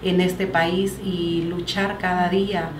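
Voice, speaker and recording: A woman's voice: lowish voice, little pitch movement, slow pace, recorded from a distance. A middle-aged woman from El Salvador with a Salvadoran accent, sharing her personal testimony as a guest and quoting scripture earnestly. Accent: Salvadoran Spanish. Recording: echoey room with background noise.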